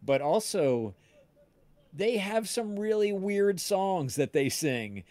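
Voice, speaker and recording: A man chatting casually on a livestream, telling anecdotes in a halting, searching way with false starts. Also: sits high, sing-song, a little mellow, no echo. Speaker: podcast cast member on a livestream. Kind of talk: casual chat, rambling anecdote.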